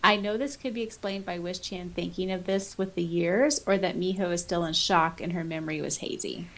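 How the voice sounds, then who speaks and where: A woman's voice: fairly low pitch, steady tone, brisk, a bit bright. Woman podcast co-host, casual and giggly. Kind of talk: casual chat, reading out listener comments.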